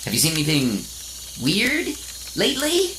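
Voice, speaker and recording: Man playing an earnest robot boy hero, swooping pitch. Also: huge pitch swings, sharp tone, a little hiss or hum, full character voice. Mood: chipper, eager. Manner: polite, gee-whiz heroic, halting when hurt. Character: earnest chipper robot boy hero, small and easily hurt. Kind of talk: comedic radio serial acting.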